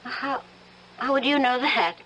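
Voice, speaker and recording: A woman acting in an old-time radio drama as a middle-aged, chatty and gently concerned wife, genteel and conversational. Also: sits high, animated, unhurried, exaggerated voice, poor audio.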